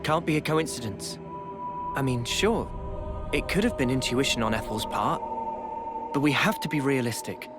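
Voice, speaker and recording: A man voicing a calm, serious young squad leader in a game cutscene, speaking in a measured, earnest way. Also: medium pitch, over loud music, lightly acted. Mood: grave. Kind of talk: video game cutscene acting.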